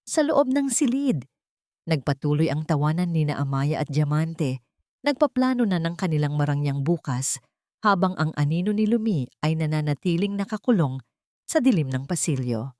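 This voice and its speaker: A woman narrating a dramatic story in a slow, measured, somber way, close to the mic. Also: low-pitched, sing-song, warm tone, no echo.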